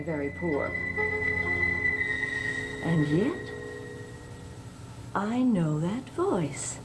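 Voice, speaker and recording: A fretful wife from a vintage TV soap opera, pleading in a flustered way. Her mid-pitched voice rises and falls widely, with music clearly under her lines. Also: rough recording. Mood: uneasy. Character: anxious, fussing wife of a wealthy family. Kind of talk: 1960s soap opera acting. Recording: old TV soundtrack with music beneath.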